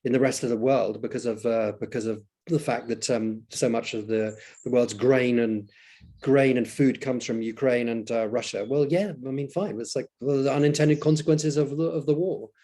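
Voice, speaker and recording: A male panelist on a video call with a dark, slightly husky voice. He speaks bluntly, tripping over repeated words as he pushes his point. His pitch sits in the middle. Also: normal speed. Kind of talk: casual panel discussion.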